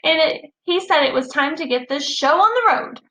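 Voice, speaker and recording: A woman reading a children's story aloud with expressive, childlike enthusiasm. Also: high voice, normal speed. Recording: roomy echo.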